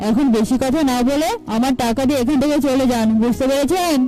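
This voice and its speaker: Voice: high voice, fast talker, mellow, close to an everyday voice, rough recording. A performer voicing a greedy, rude crow shopkeeper in a children's cartoon, haggling and scolding. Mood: scornful, irritated.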